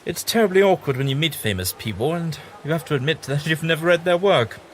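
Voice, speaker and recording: A man's voice: on the high side, expressive, quick pace, close to an everyday voice. A man playing a kindly, bookish, eccentric time traveller with an amiable, slightly absent-minded manner in an audio drama. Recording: audible background noise.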